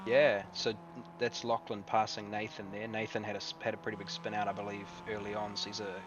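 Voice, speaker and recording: A man's voice: on the low side, completely flat delivery, fairly quick, highs cut off. A man with a New Zealand accent giving casual, rambling live commentary on an online car race. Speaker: sim racing commentator. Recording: distant mic with noise and hum.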